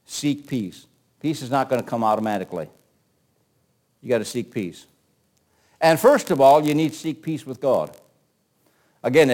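A man's voice: medium pitch, a little mellow. Older man preaching a conversational sermon. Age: 60s. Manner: emphatic, conversational, repeats phrases and poses rhetorical questions. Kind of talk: sermon with scripture readings.

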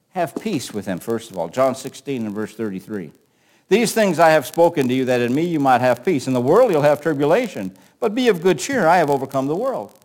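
A man's voice: fairly high pitch, darkish tone. A man in his sixties preaching in an emphatic, conversational way, repeating phrases and asking rhetorical questions as he teaches from scripture. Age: 60s. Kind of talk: sermon with scripture readings.

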